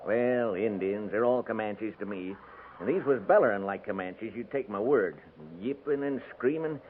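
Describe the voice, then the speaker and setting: An actor playing a cantankerous, folksy frontier settler in a 1950s radio drama, talking in a rustic, garrulous way. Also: male voice, lowish voice, moderate pace, lightly acted. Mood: crusty, indignant. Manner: rustic, garrulous, emphatic drawling talk. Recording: narrow-band 1950s radio recording, faint noise.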